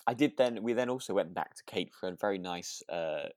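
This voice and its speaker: Animated, chatty man, close dry sound. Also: sits low, fairly quick, no echo. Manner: chuckling, rambling, hedging with "kind of" and "sort of". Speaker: podcast co-host. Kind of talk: casual chat reviewing a radio drama.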